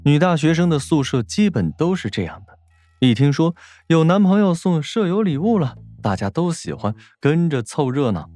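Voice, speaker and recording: Animated, higher-pitched male audiobook narrator. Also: moderate pace, no echo, faint music behind. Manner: expressive storytelling.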